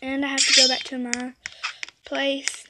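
High-voiced girl mumbling through a game. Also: completely flat delivery, a little slow. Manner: mumbling, trailing off mid-sentence. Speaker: gamer recording gameplay. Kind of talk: casual let's-play chat. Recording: loud background noise.

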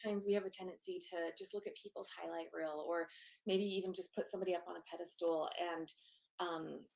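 A soft-spoken woman in her thirties, a guest on a video call, telling her life story in an open, earnest way with lots of fillers. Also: on the low side, steady tone, quick pace, creaky. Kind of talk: candid personal storytelling. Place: home office.

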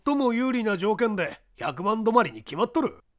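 男性が演じる高めで威圧的な社長. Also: male voice, high voice, very animated, moderate pace, voice pushed up. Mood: blustering. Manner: forceful, emphatic, bossy. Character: blustering, skeptical male company president.

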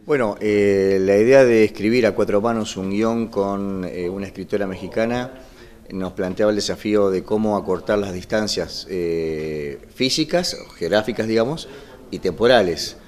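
Low-voiced middle-aged Argentine man. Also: moderate pace, a little mellow. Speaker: radio drama scriptwriter. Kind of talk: explanatory interview talk. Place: small wood-panelled room indoors. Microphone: microphone just in front.

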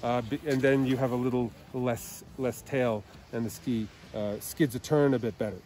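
A man's voice: mid-range voice, steady tone, moderate pace, a little mellow, a little hiss or hum. A man giving a casual ski review outdoors on a snowy mountain, hesitant with many fillers. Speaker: ski tester.

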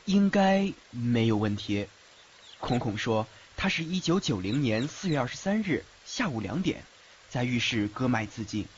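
A trusting young godson , played by a man, speaks with eager devotion and very mobile pitch. The voice is expressive and reassuring, acted for a fan audio drama. The recording is muffled, with faint noise. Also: normal speed, lightly acted. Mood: earnest. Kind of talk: Mandarin fan radio drama acting. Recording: muffled, faint background noise, rain-like ambience.

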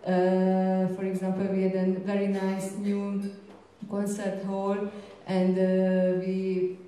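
In a very reverberant room, heard a little from the microphone, a woman with a Hungarian accent presents to a conference. She speaks slowly and hesitantly, pausing often as she searches for words. Her delivery stays fairly flat in pitch. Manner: halting, hesitant non-native English with frequent pauses. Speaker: gallery curator and presenter. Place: indoor presentation room, seated at a panel table. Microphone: handheld microphone through room sound. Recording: very echoey room, distant, faint noise.

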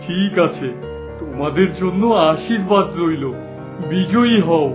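A loving royal father acted by a man, his voice pitched high. He speaks tenderly and solemnly in a cartoon fairy tale, with music clearly behind him. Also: animated, measured pace. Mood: grateful, solemn. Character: loving, grateful father king blessing his daughter.

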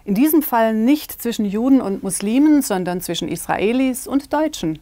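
A woman in her forties presenting a news magazine segment in a TV studio, crisp and polished. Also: on the high side, animated, normal speed, dark tone, no echo. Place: small TV studio. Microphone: lapel mic.

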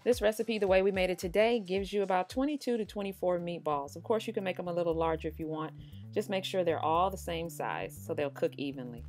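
A woman's voice: fairly low pitch, fast talker, a little mellow. A woman hosting a cooking tutorial, narrating casually in a chatty, informal, encouraging way. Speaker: cooking show host. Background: background music.

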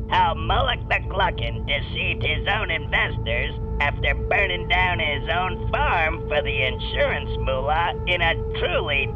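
A man's voice: fairly high pitch, low-quality recording. A man voicing a gabby, theatrical, slangy alien in a comic video game cutscene.

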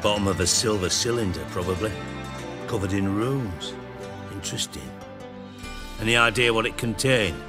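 A man's voice: on the low side, normal speed, long pauses, music in the background, lightly acted. An actor playing an irritable, self-important male crime boss in a video game, sardonic, clipped and foul-mouthed. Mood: wry, testy. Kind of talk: video game dialogue acting.

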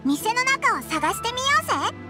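A woman voicing a small, excitable, childlike fairy companion in a Japanese anime game, with a blunt, childish way of talking. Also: extremely high pitch, moderate pace. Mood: curious. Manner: childish, blunt, exclamatory, boyish speech. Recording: loud game music behind the voice.

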